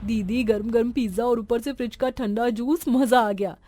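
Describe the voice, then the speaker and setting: A woman voicing a spoiled, haughty young married daughter in a cartoon story, whiny and sharp-tongued. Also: on the high side, steady tone, normal speed, no room sound, close to an everyday voice. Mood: smug.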